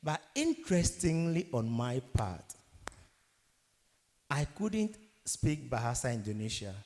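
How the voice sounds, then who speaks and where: A man's voice: mid-range voice, big pitch swings, slow pace, darkish tone. A man in his thirties from Ghana with a Ghanaian English accent, giving an emphatic motivational workshop lecture and throwing rhetorical questions at his audience. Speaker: guest lecturer. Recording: long hall reverb.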